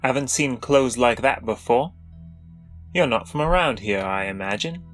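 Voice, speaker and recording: A narrator plays a tall, menacing male villager in a fantasy audio story. He talks in a folksy, confrontational way, full of small-town suspicion of strangers, at a middle pitch over music. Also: animated, moderate pace, a bit bright, close to an everyday voice. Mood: suspicious. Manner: confrontational, folksy rural phrasing.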